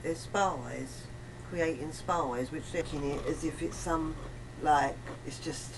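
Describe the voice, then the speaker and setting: Low-voiced woman, emphatic in conversation. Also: slow. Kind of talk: casual chat, conversational. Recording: clearly noisy background.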